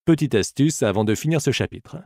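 A man's voice: mid-range voice, lively intonation, fast talker, gravelly, a little mellow, dry recording, clean studio sound. A man narrating a scripted bilingual audiobook lesson as a teacher, addressing the listener in an encouraging way.

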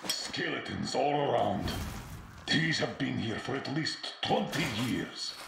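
An actor playing a gruff, terse older military commander in a video game, giving urgent orders. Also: male voice, medium pitch, animated, slow pace, exaggerated voice. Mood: grim, wary. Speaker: squad commander. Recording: distant, echoey, loud noise behind.

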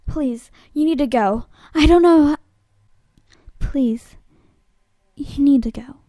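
Woman acting slow, high-voiced bewildered Raven. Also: dark tone, dry recording, clean studio sound. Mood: alarmed, angry. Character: Raven, bewildered, defensive girl in a melodrama. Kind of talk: amateur voice-over audition acting.

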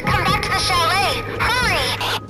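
A woman's voice: very high voice, lively intonation, measured pace, over loud music. A woman playing a bossy, brainy, urgent young female sleuth in a cartoon video game.

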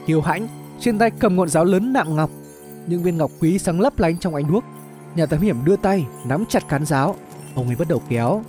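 High-voiced male story narrator over music. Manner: expressive storytelling. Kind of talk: scripted dramatic story narration. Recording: music bed under the voice. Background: background music.

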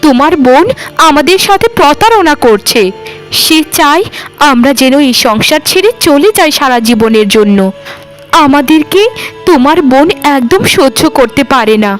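A woman's voice: sits high, animated, moderate pace, poor audio. A woman playing a wronged young wife in a cartoon melodrama, earnest and plaintive, pleading with emphasis. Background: background music.

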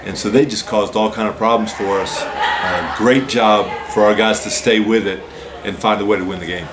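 A man in his forties, a head basketball coach, calmly answering postgame questions at a press-room table, explaining with many "uh" and "so" openers. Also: lowish voice, moderate pace, noisy background. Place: press room with a team backdrop, seated at a table.

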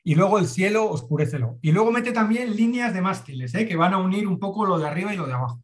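A middle-aged man with a fairly high voice gives kind, rambling critiques of watercolors. He speaks over a video call from a room at home, restarting phrases as he hunts for the right word. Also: expressive, fast talker. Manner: encouraging, hesitant repeats. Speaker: watercolor teacher giving feedback.

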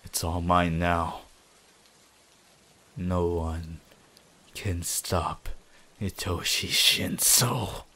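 A man's voice: deep voice, flat delivery, heavily acted. A man voicing a possessive, gloating young anime villain in a roleplay, menacing and megalomaniac. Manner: slow, menacing, swelling from whispers to shouts.

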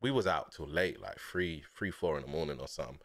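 A man, chatting casually on a stream from a small dim room through a headset, telling stories in relaxed slang and laughing often. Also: rumbling low, fast, dry recording, clean studio sound. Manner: laid-back slangy storytelling, laughs often.

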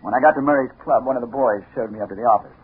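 A man's voice: medium pitch, rapid-fire, close to an everyday voice. An American actor playing a haunted hard-boiled detective obsessed with a woman, in 1950s radio noir style. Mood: determined. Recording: noisy, phone-like 1950s radio recording.

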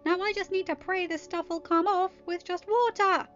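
A woman acts out a feisty, sarcastic teenage girl from a storybook, exasperated and emphatic. The voice leaps high above ordinary narration, on slightly muffled audio. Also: fairly even intonation, normal speed, a bit bright. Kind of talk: amateur story reading with dialogue. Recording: dull lo-fi audio, music behind.

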